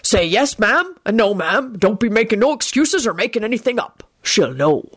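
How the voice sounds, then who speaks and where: Lady doing a big gruff gamekeeper guy in a storybook, growly and blunt, close dry sound. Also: on the high side, sing-song, normal speed, no room sound, pitched above the performer's own voice. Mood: gruff warning. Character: gruff, looming male gamekeeper, blunt but well-meaning. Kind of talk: audiobook character voice.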